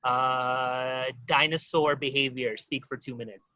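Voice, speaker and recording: A man teaching an online exam-prep webinar, talking quickly and informally to his audience with many fillers. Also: mid-range voice, steady tone, bright, forceful tone, dry recording, highs cut off.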